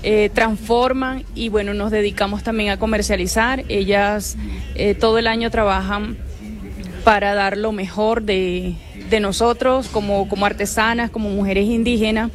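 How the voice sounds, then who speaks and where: Female artisan guest, Arhuaco native speaker, measured delivery with fillers, faint music. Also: medium pitch, steady tone. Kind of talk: radio interview.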